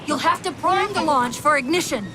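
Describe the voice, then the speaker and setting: Woman acting a high-voiced female AI game companion. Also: normal speed, heavy background noise, close to an everyday voice. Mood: focused, cautious. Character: female AI companion, bright, caring, urgent, dryly witty. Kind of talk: video game cutscene acting. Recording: loud game music and effects behind.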